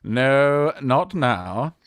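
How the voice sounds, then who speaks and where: A woman with a British accent playing a flustered young gentleman who poses as a rich old aunt in a theatrical Victorian farce. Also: a bass voice, expressive, extremely slow, dry recording, full character voice. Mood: bluffing, hesitant. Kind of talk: Victorian stage farce, radio play acting.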